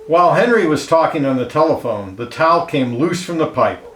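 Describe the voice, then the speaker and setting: A man reading a children's picture book aloud expressively, pausing to comment and ask listeners questions, in an echoey room. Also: fairly high pitch, animated, a bit bright, slight background noise. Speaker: storybook reader. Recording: roomy, echoey indoor sound.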